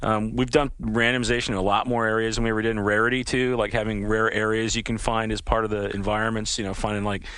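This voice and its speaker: A man's voice: on the low side, flat delivery, fast, few pauses, a bit bright, smooth, dry recording. A man talking about his game as a podcast guest, telling stories with enthusiasm and many fillers. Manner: enthusiastic storyteller, many "you know" fillers. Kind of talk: casual interview chat.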